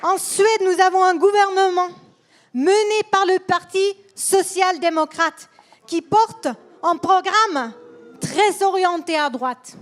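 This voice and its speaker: High-voiced Swedish woman giving a slow speech. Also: a faint crowd. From Sweden. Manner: careful, deliberate delivery with pauses and repeated words. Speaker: political speaker. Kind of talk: political speech. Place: rally hall.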